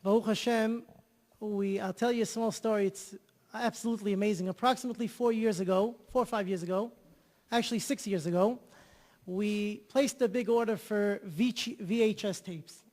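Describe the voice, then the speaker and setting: A man's voice: high voice, fairly even intonation, moderate pace, a little mellow, long reverb tail. A man telling an enthusiastic story as a guest on an interview show, often repeating himself. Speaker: guest, charity organizer.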